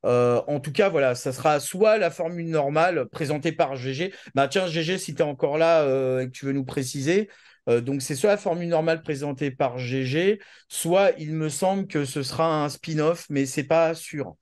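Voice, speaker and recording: Guy co-hosting, kinda higher voice, slangy casual explainer chat. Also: moderate pace, a little mellow. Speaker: co-host of a pop-culture web show.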